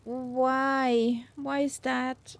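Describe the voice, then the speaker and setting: A woman chats casually with fellow players on an online flight. Her voice sits high and her pace is slow. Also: flat delivery, gravelly, no room sound. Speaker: pilot in a group online flight. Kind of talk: casual voice chat.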